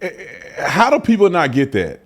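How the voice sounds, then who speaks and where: A man's voice: on the high side, moderate pace, slight background noise. A man hosting a casual commentary show, talking emphatically between clips and repeating himself as he argues his point. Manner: animated, emphatic, repeats phrases.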